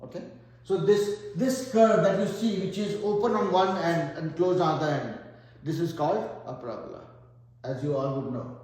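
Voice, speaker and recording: A man's voice: on the high side, normal speed, a little mellow. A man with an Indian accent teaching a math lesson, working through problems step by step and thinking aloud. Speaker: math tutor. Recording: very echoey room.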